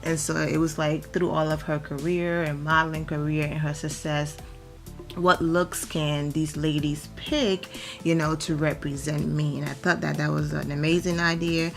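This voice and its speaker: Hoarse deep-voiced woman doing a gossip vlog, rambly, lots of ums, low and crackly. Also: level tone, normal speed, soft background music. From Atlanta, Georgia. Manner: chatty, rambling with many fillers. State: hoarse, nearly losing her voice from allergies. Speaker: celebrity gossip vlogger. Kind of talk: casual gossip commentary.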